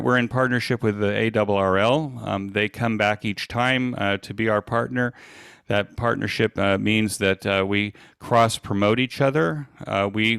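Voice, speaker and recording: A man giving an informal presentation as an event organizer, speaking in a halting, hesitant way with frequent pauses. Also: lowish voice, gravelly, a bit bright, clean tone, no room sound, clean studio sound.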